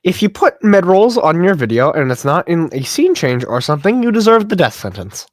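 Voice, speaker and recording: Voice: mid-range voice, big pitch swings, fairly quick, no echo. Blunt podcast moderator with a dry sound. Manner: blunt, swears casually. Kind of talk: casual group discussion.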